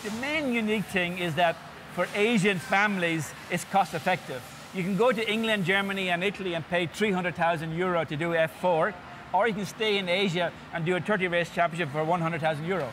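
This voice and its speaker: Fifties male organizer, high-pitched and eager, interview set with audible background noise. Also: brisk, fairly bright tone. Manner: enthusiastic, explains with lists and repeats words. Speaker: series organizer. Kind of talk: sit-down interview. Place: indoor interview set before a sponsor backdrop.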